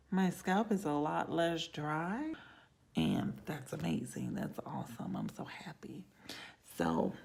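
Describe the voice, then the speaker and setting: A woman vlogging in a casual ramble to camera, with a raspy, hoarse voice and frequent drops into whispers. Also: deep voice, a little mellow. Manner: rambling, self-talk with many trailing whispers. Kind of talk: casual vlog talk to camera.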